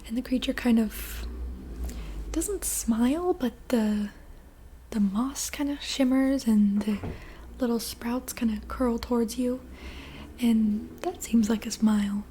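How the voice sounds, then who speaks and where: A young adult woman narrating an ASMR roleplay story in a soft, unhurried whisper with casual fillers. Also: a little high, a little hiss or hum.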